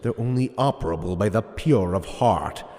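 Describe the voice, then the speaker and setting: A man's voice: lowish voice, expressive, normal speed, warm tone. A man voicing a smug, theatrical supervillain mastermind with mock politeness in an audio superhero comedy. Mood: smug, gloating. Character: gloating comic-book supervillain mastermind, male, smug and theatrical. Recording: reverberant hall sound with music.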